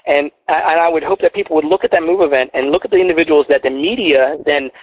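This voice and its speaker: A man's voice: on the high side, fast, husky, no echo. A man from Philadelphia with a faint Philadelphia accent, talking earnestly and rambling on a call-in radio show, with many restarts. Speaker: guest. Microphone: phone. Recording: tinny phone line, dry.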